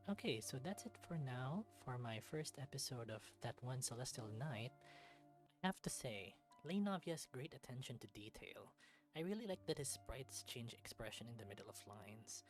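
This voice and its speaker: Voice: medium pitch, big pitch swings, normal speed, faint music behind. A let's-play host reading a visual novel aloud, moving between storybook-like narration and casual commentary.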